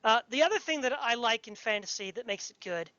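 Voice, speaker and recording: Woman, higher-pitched, opinionated and emphatic conversational delivery with a pedantic streak; dry, slightly muffled lo-fi sound. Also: lively intonation, fast, bright, forceful tone, no echo. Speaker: podcast speaker discussing fantasy. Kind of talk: opinionated casual discussion.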